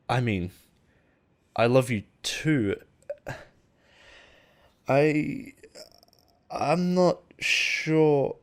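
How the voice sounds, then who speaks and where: A man playing an obsessive, possessive boss in love with his assistant, in an intimate audio roleplay with halting, pause-filled delivery. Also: medium pitch, smooth, heavily acted. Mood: flustered, teasing. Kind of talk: intimate dramatic roleplay monologue.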